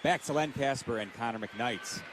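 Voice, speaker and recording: Man, American accent, mid-pitched, radio score update, clear noise behind. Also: moderate pace. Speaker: radio studio announcer giving score updates. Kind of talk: scripted sports update.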